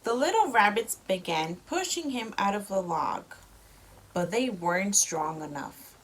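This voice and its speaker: A woman reading a storybook to a young child as a mother, with expressive delivery and simple explanations. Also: medium pitch, a little slow, low-quality recording.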